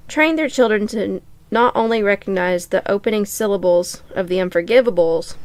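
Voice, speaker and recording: A woman with a very clear, smooth voice at a medium pitch reads a story aloud as a podcast co-host. The sound is dry and close. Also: no echo. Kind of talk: reading fan fiction aloud.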